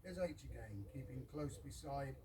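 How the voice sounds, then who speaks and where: A man reads a poem aloud to a gathering of friends in measured, halting short phrases, with informal asides. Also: mid-range voice. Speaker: reader of a poem at a birthday gathering. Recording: distant mic with clear background noise.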